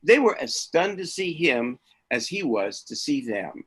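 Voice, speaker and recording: A man's voice: on the high side, big pitch swings, a little slow, a bit bright, husky. A man who is an author, talking about his comic fiction and reading it aloud as narrator. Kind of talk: chat, then dramatic reading of comic fiction.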